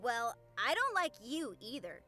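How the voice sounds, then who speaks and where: A woman's voice: on the high side, very animated, a little slow, bright, forceful tone, soft background music, full character voice. A woman voicing a small, cheeky, indignant ghost child in an audio story, with a childish, scolding manner. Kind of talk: audio story character acting.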